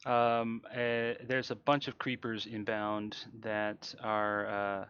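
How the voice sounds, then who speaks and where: A man's voice: fairly low pitch, completely flat delivery, slow, clean tone, muffled recording. A man giving casual, explanatory live commentary as he speedruns a video game, often hesitating as he goes. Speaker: speedrunner commentating on own run. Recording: loud game music behind. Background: video game music and car engine sounds.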